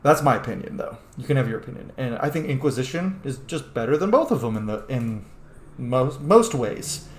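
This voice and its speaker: A man's voice: medium pitch, animated, fairly quick, a little hiss or hum. Opinionated male gamer in a roomy space. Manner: opinionated, sweary, emphatic. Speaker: host of a game roleplay series. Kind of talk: casual gaming commentary. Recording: roomy sound with faint game music.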